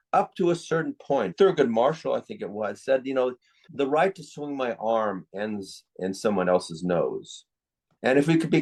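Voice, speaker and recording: An American man speaks as an expert interview guest at a middling pitch. He lays out his views earnestly and with emphasis, in an opinionated, explanatory style. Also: a little mellow. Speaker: guest, psychiatrist and author.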